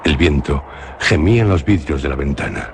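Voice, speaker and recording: A man with a Spanish accent narrating a suspenseful radio drama in a slow, grave, measured way. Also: very deep voice, sing-song, vocal fry, a little hiss or hum. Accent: Spain.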